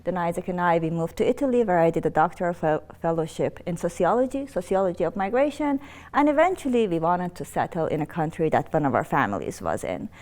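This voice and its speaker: Woman, slightly low voice, interview guest. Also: animated, fast talker, a little mellow. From Iran. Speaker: interview guest, nonprofit executive director. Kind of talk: conversational interview answers, personal storytelling.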